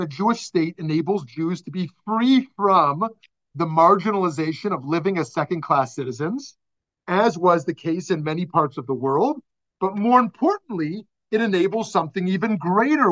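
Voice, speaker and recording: Rabbi lecturing over a muffled call. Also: male voice, sits high, animated, normal speed, fairly bright tone. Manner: conversational, asks the class questions, laughs often. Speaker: rabbi teaching a class. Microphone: video call. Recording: dull lo-fi video call audio.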